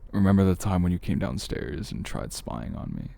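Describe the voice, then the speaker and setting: Man acting as low, gravelly teasing big brother. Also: animated, warm tone, exaggerated voice. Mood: flirtatious, reassuring.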